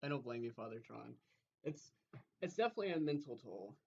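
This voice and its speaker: A man's voice: mid-range voice, animated, a little fast, creaky, a little mellow, husky. Man chatting casually on stream, dull audio. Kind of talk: casual livestream chat.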